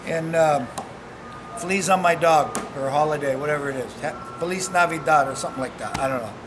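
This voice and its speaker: A man's voice: a little high, fairly quick, noisy background. A man in his fifties, a fishmonger giving an upbeat, joking cooking demo in a small shop kitchen, recorded on a camera mic. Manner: upbeat, joking, salesman-like chatter. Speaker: fishmonger demonstrating seafood.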